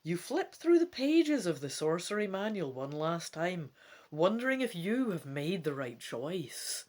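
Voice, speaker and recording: A woman with a Scottish accent hosts a gamebook let's-play. Her voice is on the low side and very animated, moving between dramatic reading and informal commentary with theatrical expression. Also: a little slow.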